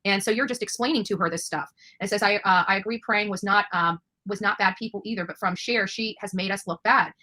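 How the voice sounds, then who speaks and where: A woman's voice: mid-range voice, brisk, hardly any pauses, pushed and bright. A woman hosting an online interview in a chatty, emphatic and opinionated way. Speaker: interviewer and online show host. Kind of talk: chatty interview, reading out text messages.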